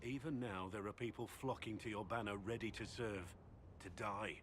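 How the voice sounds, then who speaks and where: A man's voice: on the low side, fairly even intonation, normal speed, a bit bright, slight background noise, close to an everyday voice. A man playing a stoic, duty-bound soldier in a game, solemn and formal, guarded about his affections. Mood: solemn, pained. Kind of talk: dramatic game cutscene acting.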